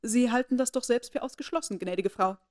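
A woman voicing a composed young woman who answers in a calm, cool and quietly defiant way. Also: on the high side, fairly quick, lightly acted.

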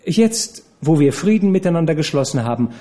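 A man voicing a coquettish young actress who teases and cajoles in a high, airy voice. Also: expressive, fairly quick, warm tone, natural voice. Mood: teasing. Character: coquettish, teasing young woman, a theatre actress.

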